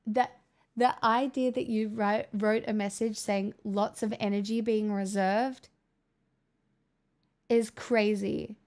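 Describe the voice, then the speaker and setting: A woman's voice: medium pitch, flat delivery, slow, a little mellow. A young woman hosting a casual livestream, chatting, joking and swearing as she answers messages from chat. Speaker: livestream host reading chat.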